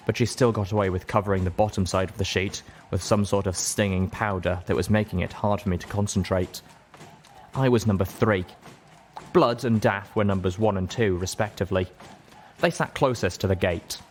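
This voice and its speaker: A man narrates an audiobook in a fairly low voice. His delivery is scripted, dramatic storytelling, recorded with clear noise in the background. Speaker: audiobook reader.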